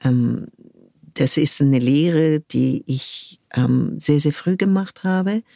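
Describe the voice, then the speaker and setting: An older woman speaks in a low, mellow tone, weighing each phrase and stopping now and then to hunt for a word. The sound is close and dry, with no room around it. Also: creaky, no echo. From France. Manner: thoughtful, searching for words with frequent pauses. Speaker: guest, cultural manager. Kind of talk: reflective conversational interview.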